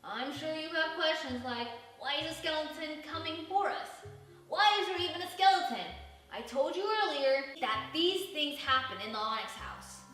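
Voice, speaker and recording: Woman reading as a chatty, bright-voiced young boy. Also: high voice. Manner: conversational, confiding asides. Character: chatty, bemused young boy addressing the audience. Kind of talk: children's storybook character reading. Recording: echoey room, distant mic.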